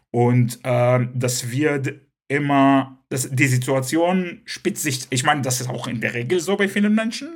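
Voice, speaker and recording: A man's voice: mid-range voice, husky. Give me a man answering interview questions, hesitant and full of fillers. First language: Hebrew. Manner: hesitant, many fillers and restarts. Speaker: guest.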